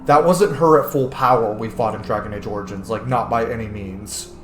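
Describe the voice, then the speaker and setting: A man's voice: lowish voice, brisk, faint music behind. A man giving casual gameplay commentary as a video host, informal, joking and sometimes profane. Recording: roomy echo, faint noise.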